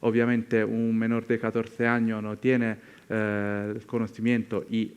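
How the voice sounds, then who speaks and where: Italian-accented man, smooth even low voice. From Italy. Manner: halting, searching for words. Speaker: tech company representative on a panel. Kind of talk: unscripted panel explanation.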